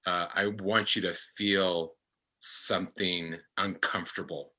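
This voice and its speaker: A man speaking in a halting, deliberate way as an actor in a casual panel discussion. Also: deep voice, fairly even intonation, sharp tone. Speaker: podcast actor explaining his character.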